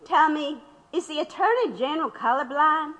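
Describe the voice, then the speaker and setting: A woman's voice: sits high, animated, normal speed, a bit bright. A woman playing a defiant, wry activist under interrogation in an audio drama, firm and sardonic. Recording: long echoing room reverb.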